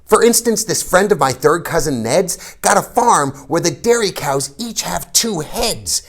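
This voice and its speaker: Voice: mid-range voice, animated, measured pace, voice pushed up. A reader voicing an imaginative, cheeky young schoolboy in a storybook, eagerly and earnestly telling tall tales. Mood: excited boasting.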